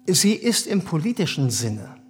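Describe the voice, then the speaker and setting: A cynical condescending official. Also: male voice, fairly high pitch, sing-song, measured pace, slight background noise. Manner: smooth, condescending, hesitant fillers. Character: male German privy councillor, cynical and condescending. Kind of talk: radio play acting.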